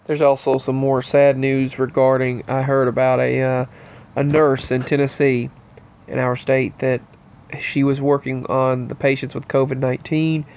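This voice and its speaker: A man with a stopped-up, allergy-stuffed nose talks straight to his viewers in a loose, rambling monologue. His pitch sits in an ordinary middle range, and he speaks earnestly as he moves from item to item. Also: flat delivery, moderate pace, darkish tone, clean tone. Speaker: channel host. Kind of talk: casual news-roundup monologue.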